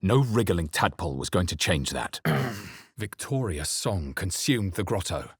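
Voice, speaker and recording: A deep, husky, brooding fantasy narrator. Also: male voice, animated, no echo, studio quality, full character voice. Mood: solemn, brooding. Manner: solemn, deliberate storytelling. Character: brooding fantasy hero narrating his own perilous adventure. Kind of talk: scripted first-person game narration.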